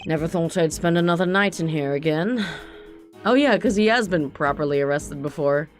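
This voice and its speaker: A calm, measured prosecutor brooding in a cell, as a woman reads him in her own voice. The tone is low and lightly raspy, with a dry, thoughtful manner. A soft bed of game music runs behind. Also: lightly acted. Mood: reflective. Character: composed male prosecutor, jailed, reflective.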